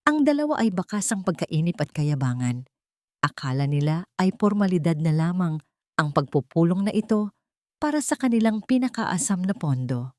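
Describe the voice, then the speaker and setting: Low-voiced woman narrating a dramatic story. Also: big pitch swings, moderate pace, darkish tone, dry recording, clean studio sound. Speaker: audiobook narrator.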